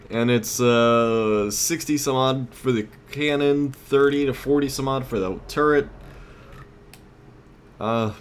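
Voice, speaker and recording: Male game commentator, fairly low voice, conversational and unscripted, recorded with clear background noise. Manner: conversational, thinking aloud. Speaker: let's play host. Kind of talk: casual gameplay commentary.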